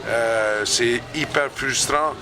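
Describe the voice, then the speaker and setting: A middle-aged hockey coach, answering post-game interview questions slowly, with many pauses and restarts. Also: male voice, mid-range voice. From Canada. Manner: hesitant, many fillers and restarts. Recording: loud crowd chatter behind.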